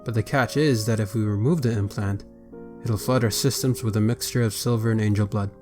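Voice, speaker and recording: A man's voice: on the low side, fairly even intonation, a little fast, over music, natural voice. Man playing a stern, formal vampire patriarch. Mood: grave, measured. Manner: formal, authoritative. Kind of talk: scripted roleplay voice acting.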